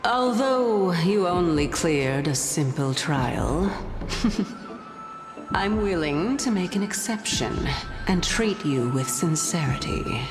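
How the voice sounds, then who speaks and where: Game role: teasing female sage, theatrical and lofty, leisurely pace, lively intonation, music behind. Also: lowish voice. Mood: amused, lofty. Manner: coy, condescending, theatrical, unhurried. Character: teasing, enigmatic adult woman sage, leader of seven. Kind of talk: video game voice acting. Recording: long hall-like echo, music underneath.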